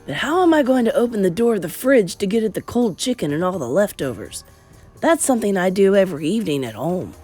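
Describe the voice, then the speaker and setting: A woman voicing a loudmouthed, greedy young boy turned mouse, blustering and petulant, in a storybook reading. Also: medium pitch, sing-song, quick pace, husky, soft background music.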